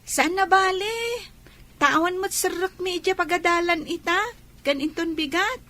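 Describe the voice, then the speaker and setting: A woman's voice: extremely high pitch, a little slow, pushed and bright, exaggerated voice. A woman playing a young child in an Ilocano radio-style drama, with an Ilocano Filipino accent. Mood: pleading. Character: young child missing Daddy, speaking to grandmother. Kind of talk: Ilocano audio drama acting.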